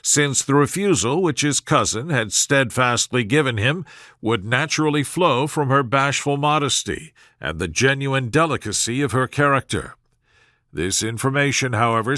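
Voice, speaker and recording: A man reading a classic novel as a bedtime sleep story, in measured, deliberate scripted narration. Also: medium pitch, bright, forceful tone, no echo, studio quality. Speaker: audiobook narrator.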